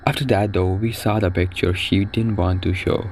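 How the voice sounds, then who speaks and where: A man with a gravelly, husky voice narrating a story recap in a casual, scripted explainer style. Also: low voice, fairly even intonation, normal speed, darkish tone, slight background noise. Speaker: narrator of a horror explainer video.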